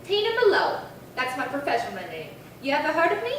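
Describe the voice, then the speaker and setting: Woman acting brash slangy showgirl, radio play, high pitched, echoey hall, distant mic. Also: huge pitch swings, fast talker, a little hiss or hum. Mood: breezy, griping. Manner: wisecracking, sassy, complaining. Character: brash, slangy struggling young model and actress. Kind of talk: 1950s radio crime drama acting. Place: live theatre stage reading.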